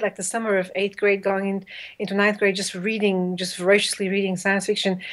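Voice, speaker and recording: A middle-aged woman from Bulgaria talks over a webcam from her home, earnest and eager as she explains her craft in long answers. Her voice sits at an ordinary middle pitch for a woman. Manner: earnest, enthusiastic, explains at length. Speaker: composer and orchestrator guest. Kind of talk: casual interview over video call. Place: home room with shelves and art. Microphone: video call webcam.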